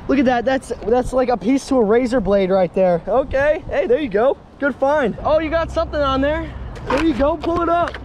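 A young man hosting an outdoor vlog, talking excitedly and laughing as he pulls things out of the water and explores an abandoned building. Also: very high voice, moderate pace, a little hiss or hum. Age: young adult. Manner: excitable, laughing, exclaims at finds. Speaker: magnet fishing vlogger. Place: outdoors by a pond at an abandoned building, then inside it.

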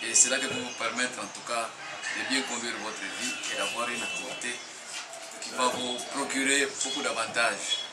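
Formal older Congolese director, music underneath. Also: male voice, mid-range voice, steady tone, normal speed. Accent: Congolese French. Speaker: provincial director. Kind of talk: promotional appeal. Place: small room with bare wall. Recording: roomy, distant, music underneath.

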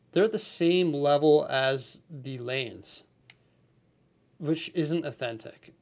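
Upbeat man reviewing a building set. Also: on the high side. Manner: enthusiastic but nitpicky, explains while handling pieces. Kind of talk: hands-on product review.

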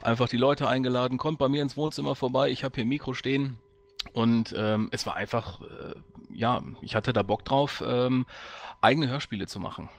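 Man from the Ruhr, mid-pitched voice. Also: steady tone, moderate pace. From Ruhr area, Germany. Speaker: podcast guest, audio drama maker. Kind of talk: casual chat.

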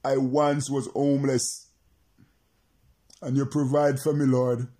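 A man's voice: medium pitch, level tone, takes it slowly, mellow. A male preacher praying and preaching to remote listeners, repeating his phrases in an insistent, chant-like cadence.

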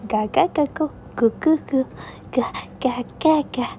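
A woman's voice: high-pitched, measured pace, warm tone, slight background noise, pitched above the performer's own voice. Woman doing a cooing baby fox kit. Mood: babbling. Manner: babbling baby talk, cooing. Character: babbling newborn male fox kit.

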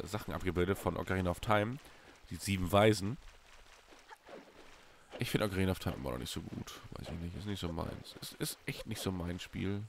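Animated German man, hoarse from a cold. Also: a little hiss or hum. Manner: animated, swings from loud bursts to low mutters. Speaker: let's play host. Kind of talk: casual gameplay commentary.

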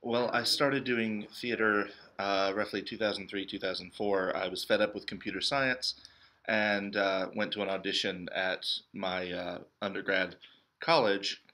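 A man in his thirties chatting casually as an interview guest, with thoughtful, measured phrasing. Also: lowish voice, steady tone, sharp tone. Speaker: interview guest, stage actor. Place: indoors, small hall with cinder-block walls.

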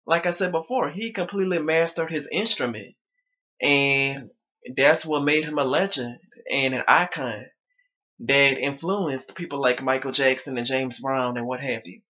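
Young male vlogger, slightly high pitch, strained voice, dull webcam sound. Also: bright, forceful tone. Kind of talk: casual talk to camera. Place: small bedroom indoors.

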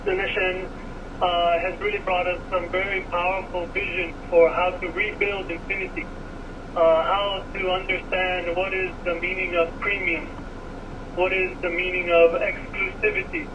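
A man from Miami with an American accent, answering interview questions thoughtfully with many pauses and fillers. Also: high voice, flat delivery. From Miami, USA. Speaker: car design director guest. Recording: distant, dull, noisy radio audio.

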